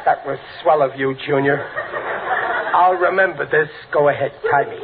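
An actor playing a bumbling, good-hearted middle-aged American father in old radio comedy, stammering and folksy with rough working-class grammar. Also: fairly high pitch, normal speed, lightly acted. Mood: jovial, confident. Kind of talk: 1940s-50s radio comedy acting. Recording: noisy, tinny vintage radio recording.

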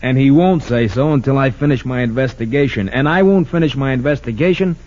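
An actor playing a blunt, dogged American police lieutenant in old-time radio drama style, matter-of-fact and clipped. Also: male voice, mid-range voice, fairly quick, a little mellow, lightly acted. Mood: firm. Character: blunt, dogged male police lieutenant, sure of his theory. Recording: muffled 1940s-50s radio recording.

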